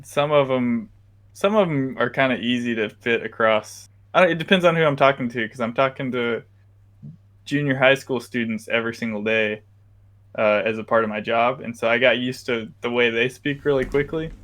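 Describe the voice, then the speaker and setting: Easygoing young man, halting casual talk. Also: medium pitch, fairly bright tone. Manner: easygoing, hesitant, lots of fillers. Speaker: podcast co-host. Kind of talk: casual chat.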